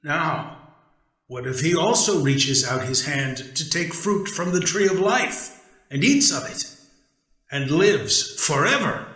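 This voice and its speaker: A man's voice: on the high side, lively intonation, slow pace, fairly bright tone, echoey room, rough recording. A man voicing a stern, commanding God in a dramatic scripture reading, grave and emphatic as he judges. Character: stern, commanding male God, solemnly judging.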